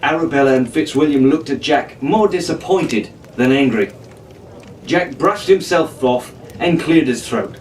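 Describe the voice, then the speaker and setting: A man's voice: on the high side, a little slow. Echoey, distant male storyteller. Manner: theatrical, performed in a pirate captain persona. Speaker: storyteller reading an adventure novel aloud. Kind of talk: scripted narration, children's adventure story. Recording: echoey room, distant mic, faint hiss.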